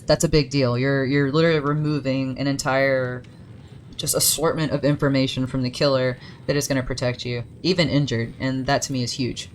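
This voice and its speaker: A woman's voice: rumbling low, slight background noise. A woman gaming creator reading game lore aloud, breaking off for chatty asides about perks and pronunciation. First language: English.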